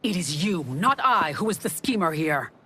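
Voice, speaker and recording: A stern, defiant game guard. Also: female voice, fairly low pitch, animated, normal speed, sharp tone, a little hiss or hum. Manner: formal, accusatory. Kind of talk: video game cutscene acting.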